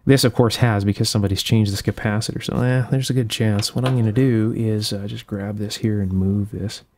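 A man's voice: lowish voice, fairly even intonation, fairly quick, a little mellow. Methodical man explaining a hands-on repair. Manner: methodical, thinking aloud. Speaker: host of an electronics repair channel. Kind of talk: unscripted hands-on repair demonstration, explaining each step. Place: indoor workshop bench.

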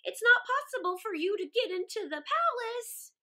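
Helpful male crow for a children's tale, female performer: emphatic, coaxing, very high-pitched. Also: animated, normal speed, sharp tone, exaggerated voice. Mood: eager, reassuring. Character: eager, helpful male forest crow, kindly messenger. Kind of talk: animated children's storybook character voice.

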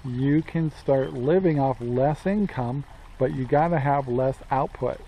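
A man's voice: medium pitch, a little slow, lo-fi sound, slight background noise. A man in his sixties talking casually to camera outdoors in his yard, rambling with many ums and asking and answering his own questions. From Central Oregon, USA. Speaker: homestead vlogger. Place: outdoors in a sunny yard with trees. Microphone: camera close in front, selfie framing.

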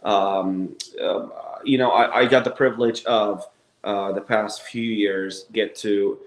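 A low-voiced Egyptian-accented man speaks over a laptop webcam from an echoey living room. He keeps stopping and restarting, padding his thoughts with fillers as he answers an interviewer. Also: steady tone. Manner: halting, many fillers and restarts. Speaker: actor and producer guest. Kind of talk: casual interview on a video call. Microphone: laptop webcam on a video call.

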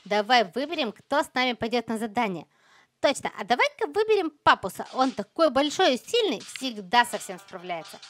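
A woman with a high voice commentates casually on a game for little kids. She sounds chirpy and exclamatory, sprinkling in playful diminutives as she speaks straight to her young viewers. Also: big pitch swings, normal speed, a bit bright. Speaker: host of a children's gaming channel.

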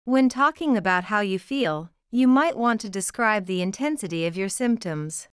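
Crisp, clear woman teaching phrases. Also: medium pitch, lively intonation, dry recording, studio quality. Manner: slow, clear reading of example phrases. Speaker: English teacher. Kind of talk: scripted lesson narration.

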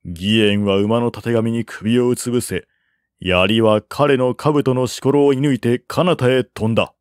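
A man's voice: medium pitch, steady tone, moderate pace, dry recording, studio quality. A man narrating a historical war novel as an audiobook, in a dramatic literary style.

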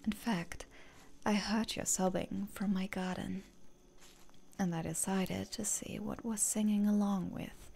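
A woman playing a seductive, genteel lady vampire in a breathy, coaxing whisper for roleplay ASMR. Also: mid-range voice, fairly even intonation, a little slow, a little mellow, slight background noise. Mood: soft, wistful.

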